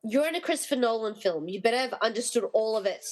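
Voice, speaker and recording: A woman playing an excitable, wry, slightly exasperated rescuer in a comedy radio play. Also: a little high, fast, lightly acted. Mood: wry, matter-of-fact.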